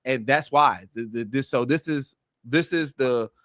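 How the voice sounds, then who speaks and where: A man's voice: medium pitch, measured pace, fairly bright tone, dry recording, clean studio sound. A man speaking as a podcast panelist, explaining his opinions in an emphatic, colloquial way. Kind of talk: casual pop-culture discussion.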